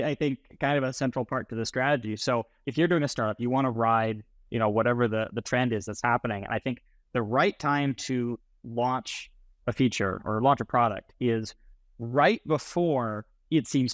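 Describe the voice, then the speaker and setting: A man's voice: mid-range voice, normal speed, no echo. A man around forty, explaining things conversationally as an interview guest. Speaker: startup founder, interview guest. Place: indoors on a video call, plain room.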